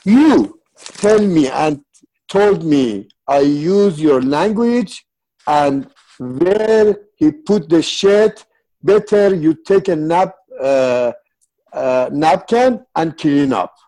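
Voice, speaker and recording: A man's voice: a little high, animated, extremely slow, dark tone, poor audio. A man from Iran with a Persian accent, Farsi his first language, telling stories as an interview guest in earnest, broken English. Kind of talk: casual interview.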